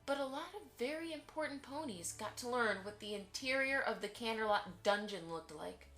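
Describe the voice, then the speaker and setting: A woman's voice: a little high, lively intonation, moderate pace, a bit bright, faint music behind. A woman playing a polite, chatty pony mother who keeps the peace in an audio drama. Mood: conversational, pointed.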